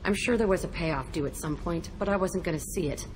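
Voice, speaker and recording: An actress playing an embittered, tough young ex-prisoner in a video game, blunt, terse and profane. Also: female voice, fairly low pitch, fairly quick, background noise, lightly acted. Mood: bitter, guarded. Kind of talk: video game dialogue acting.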